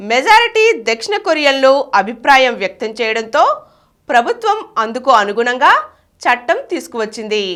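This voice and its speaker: A woman's voice: high-pitched, pushed and bright. A woman reading scripted news reports in a formal announcing manner. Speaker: news reader.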